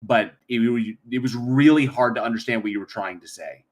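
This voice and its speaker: A man's voice: medium pitch, normal speed. A man co-hosting a debate show, speaking apologetically and chuckling.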